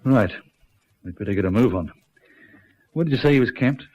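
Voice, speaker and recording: An actor playing a firm, blunt country police sergeant in a radio drama, speaking in a deliberate, probing manner. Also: male voice, mid-range voice, animated, darkish tone, lightly acted. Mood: musing, brisk. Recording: dull lo-fi radio recording.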